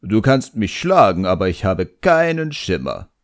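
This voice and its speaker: A man voicing a cocky, talkative djinn in an audiobook, mocking and smooth-talking. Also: lowish voice, sing-song, unhurried. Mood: coaxing. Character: cocky, sarcastic, chatty ancient djinn, teasing and flattering.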